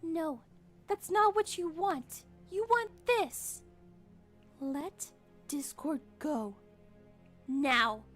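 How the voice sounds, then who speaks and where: A gentle young princess with a very high, soft voice, acted by a woman. She is earnest and quietly brave, in the manner of a cartoon drama, with music playing prominently. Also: drawn-out words, pauses often, full character voice. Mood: defiant. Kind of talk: animated fan drama voice acting.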